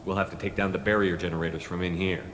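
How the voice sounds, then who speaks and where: A man's voice: deep voice, little pitch movement, fast, a bit bright, slight background noise. A man playing a resolute, decisive spaceship commander in a video game cutscene. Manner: decisive, authoritative orders.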